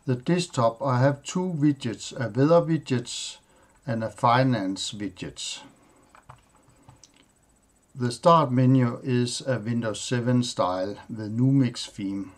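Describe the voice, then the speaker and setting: A man whose first language is Danish, hosting a tech tutorial and walking through his computer setup in a plain, halting way, listing items with pauses and fillers. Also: medium pitch. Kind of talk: screen-recorded software walkthrough.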